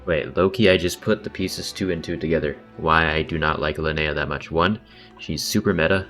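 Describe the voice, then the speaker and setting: A man with a low voice comments casually on a game as he streams it. His delivery is offhand and slangy, with a wry edge. Game music plays behind him. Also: steady tone, a little fast, a bit bright. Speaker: livestreamer.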